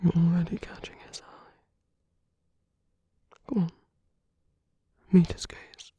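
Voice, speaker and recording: A woman performing an ASMR roleplay as a suave, teasing, flirtatious villain, in a breathy, husky half-whisper with slow, coaxing murmurs. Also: mid-range voice, level tone, dark tone, no room sound, dull audio. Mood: coaxing, smug. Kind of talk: ASMR roleplay, intimate scripted monologue.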